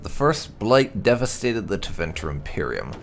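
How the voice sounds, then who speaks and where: Male gaming narrator, low-pitched, animated intonation, measured storytelling, reading fantasy lore. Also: slight background noise. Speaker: gaming channel host. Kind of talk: reading game lore aloud as scripted narration.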